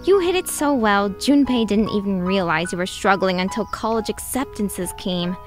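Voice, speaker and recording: A woman's voice: mid-range voice, expressive, moderate pace, over music, close to an everyday voice. A young woman acting a supportive, spirited adventurer in an audio drama. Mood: gentle, encouraging.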